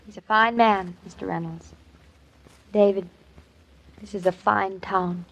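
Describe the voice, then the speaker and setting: A woman's voice: medium pitch, steady tone, unhurried, gravelly, rough recording. An actress playing an earnest, hopeful young expectant mother in a 1940s radio drama.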